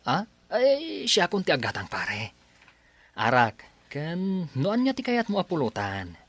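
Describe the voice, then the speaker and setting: A man's voice: a little high, measured pace, dry recording, exaggerated voice. A man playing a teasing young male friend in a radio drama, with an Ilocano accent and a lot of laughing. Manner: teasing, animated, laughs often.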